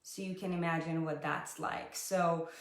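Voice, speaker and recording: A woman's voice: on the low side, steady tone, a little slow, echoey room. A woman in her thirties, from Israel, casually telling her story as a podcast co-host, chatty and full of "like" and "you know". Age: 30s. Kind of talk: casual interview.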